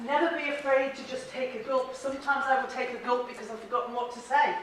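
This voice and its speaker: A woman's voice: high voice, steady tone, fast, crowd noise. An Irish woman leading a presentation-skills workshop for a live group, chatty and encouraging, laughing often and asking the room questions. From Ireland. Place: large echoey training room. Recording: very echoey room audio, from a distance.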